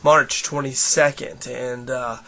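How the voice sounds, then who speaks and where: A man with a hoarse voice giving an earnest, encouraging daily devotional vlog, full of fillers and restarts. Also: medium pitch, little pitch movement, takes it slowly, sharp tone. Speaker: church leader giving a daily video devotional.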